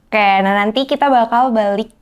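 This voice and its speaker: A woman's voice: on the high side, normal speed, lo-fi sound. A woman hosting a casual interview in a chatty, encouraging, informal way. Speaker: interview show host.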